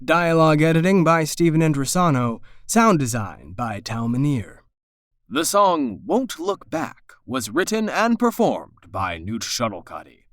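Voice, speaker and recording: A man's voice: on the high side, big pitch swings, unhurried, no room sound, clean studio sound. A man reading scripted end credits for an audio production as its announcer.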